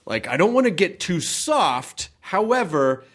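Lively, slightly high-voiced man from Memphis. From Memphis, Tennessee. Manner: halting, restarts, swears casually. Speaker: host. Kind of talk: casual chat.

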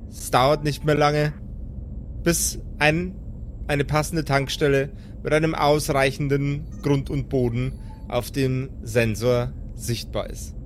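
A man's voice: medium pitch, steady tone, slow pace, slight background noise. A man working as game master, narrating an improvised story.

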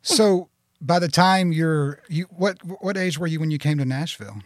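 A man's voice: fairly high pitch, animated, moderate pace, dry recording, studio quality. A man hosting a country music podcast, chatty and joking in a folksy way. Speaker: podcast host. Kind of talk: casual interview chat.

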